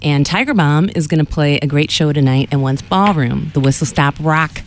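A woman's voice: low voice, normal speed, slight background noise. A woman with a Midwestern accent, a musician guest on a radio show, friendly and upbeat, laughing often. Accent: Midwestern American. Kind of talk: casual radio interview.

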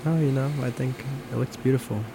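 Creaky low-voiced man over clear background noise. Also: fairly even intonation, a little fast. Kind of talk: casual chat. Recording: clearly noisy room, background hum.

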